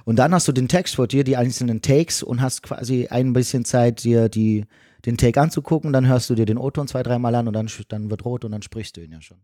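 A man's voice: mid-range voice, a little fast, mellow, no room sound, studio quality. A man with a German accent speaking casually as a podcast guest and voice actor, explaining his work with emphatic repetitions. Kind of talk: casual conversational explanation of the craft.

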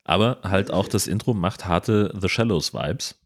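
A man's voice: low voice, measured pace. A man chatting casually as a podcast host, colloquial, joking and a little crude. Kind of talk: casual film discussion.

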